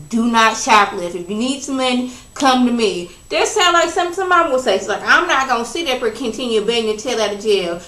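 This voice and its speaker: A woman casually recapping a reality TV episode in a chatty, animated way, acting out what people said. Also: fairly high pitch. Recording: roomy, distant from the mic.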